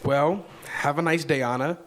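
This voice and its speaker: An actor reading a nervous teenage boy at a live table read, stammering and apologetic, then yelling in panic. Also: fairly high pitch, normal speed, close to an everyday voice. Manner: stammering and nervous, shouting when scared.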